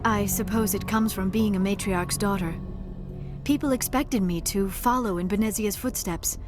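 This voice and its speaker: A woman's voice: mid-range voice, moderate pace, close to an everyday voice. An actress playing a shy, earnest young female alien archaeologist in a video game, with formal, precise diction and a socially awkward manner. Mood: reflective, modest. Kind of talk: video game dialogue acting. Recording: music behind the dialogue.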